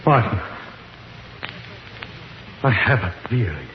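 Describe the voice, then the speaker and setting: A grave professor in echoing old radio. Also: male voice, on the low side, very animated. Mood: grave, intent. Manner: deliberate, expository, theatrical. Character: grave, reasoning male professor exploring dark caverns. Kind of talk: 1950s radio drama acting. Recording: noisy, dull, echoing 1950s radio recording.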